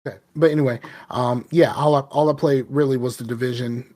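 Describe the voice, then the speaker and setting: A man's voice: medium pitch, fairly even intonation, fast talker, dark tone. A man with a Southern US accent, a podcast co-host chatting casually with lots of fillers and restarts. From American South. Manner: rambling, many fillers and restarts. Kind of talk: casual chat.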